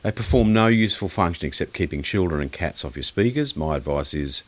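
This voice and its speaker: A man with a very low, deep voice chatting as an interview guest. He speaks bluntly and with strong opinions, wandering through his explanations with a dry, joking edge. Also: lively intonation, fairly quick. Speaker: guest loudspeaker designer and maker. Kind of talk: casual podcast interview.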